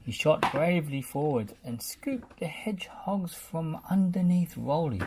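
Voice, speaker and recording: A man reading a children's storybook aloud in an expressive narrating style. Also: fairly high pitch, unhurried, background noise. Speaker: storybook reader.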